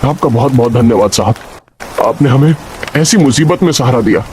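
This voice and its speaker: A man's voice: mid-range voice, brisk, a little mellow, a little hiss or hum, lightly acted. A man playing a poor, anxious labourer father in a cartoon moral story, with a humble, plaintive delivery. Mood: humble, pleading. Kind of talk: Hindi animated moral story dialogue. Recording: music behind the voice.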